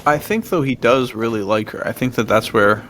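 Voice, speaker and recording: A man's voice: medium pitch, normal speed, fairly bright tone, slight background noise. A man co-hosting a podcast, talking through films in a laid-back, slangy, casual way. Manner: laid-back slangy talk, laughs easily. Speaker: podcast co-host. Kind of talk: casual film discussion, chatty retelling of plots.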